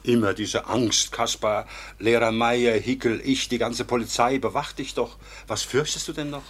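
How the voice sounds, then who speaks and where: An actor playing a fatherly, husky old judge. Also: medium pitch, steady tone, moderate pace, lightly acted. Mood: reassuring. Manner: warm, reassuring, patient. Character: kindly, fatherly older nobleman and judge. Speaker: legal official and guardian. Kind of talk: radio drama acting.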